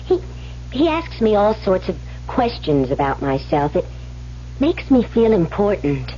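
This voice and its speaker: A woman's voice: mid-range voice, sing-song, a little slow, dark tone. An actress playing a naive, smitten young woman in a radio drama, earnest, girlish and hesitant. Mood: shy, defensive. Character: naive, smitten young woman named Amy, eager for love. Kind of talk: 1970s radio drama acting. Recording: muffled, noisy old radio recording.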